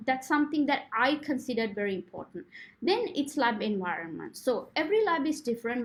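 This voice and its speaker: Sri Lankan woman giving grad school advice. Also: a little high. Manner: frequent fillers, earnest advising tone. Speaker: postdoctoral researcher giving career advice. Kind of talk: informal talk to prospective students.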